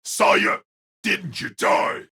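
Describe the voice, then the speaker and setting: A man voicing a brash, intense young anime fighter in an audio drama, with a strained, raspy voice and drawn-out dramatic delivery. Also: pushed and bright, dry recording, clean studio sound. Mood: shaken.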